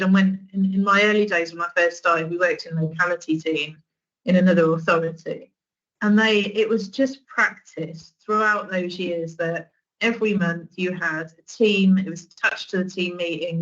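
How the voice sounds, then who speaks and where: Low-voiced woman leading an online training. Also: highs cut off. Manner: conversational, with many restarts and fillers. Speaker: social work trainer.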